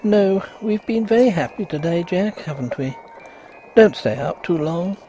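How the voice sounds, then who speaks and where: A man's voice: sits high, lively intonation, measured pace, dark tone, faint music behind, pitched above the performer's own voice, low-quality recording. A man voicing an affectionate but uneasy young Victorian wife in a gothic story, with tender, earnest, refined speech. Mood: tender, clinging. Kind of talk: storybook character voice in a gothic tale.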